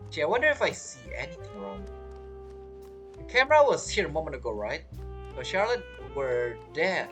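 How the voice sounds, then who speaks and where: Low, lively woman gaming commentator. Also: moderate pace, soft background music. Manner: chatty, reacting aloud in fragments. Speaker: gaming streamer. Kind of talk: casual playthrough commentary.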